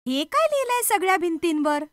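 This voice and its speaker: An actress playing an excitable, sceptical young woman with a teasing, questioning manner in a horror story. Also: very high voice, fairly quick, no echo, exaggerated voice. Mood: teasing, curious.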